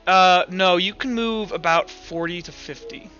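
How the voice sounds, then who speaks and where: High-pitched man running a tabletop game. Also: measured pace, muffled recording, music in the background. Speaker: game master. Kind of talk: tabletop roleplaying session, casual rules talk.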